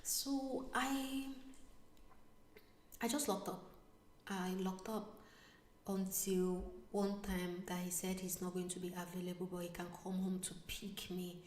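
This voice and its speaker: A woman vlogger telling a personal story in a casual, animated way, sometimes dropping to a whisper. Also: fairly low pitch, a little slow, pauses often, far from the mic, slight background noise. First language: not Italian. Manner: animated, drops to a whisper for asides. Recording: echoey room, voice away from mic.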